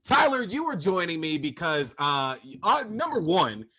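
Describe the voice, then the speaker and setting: A man's voice: a little high, very animated, unhurried, pushed and bright. A man in his thirties hosting a podcast in a loose, casual way, swearing freely and filling his talk with "like" and "man.". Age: 30s. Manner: loose and profane, lots of "like" and "man". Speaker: podcast host. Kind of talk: casual chat.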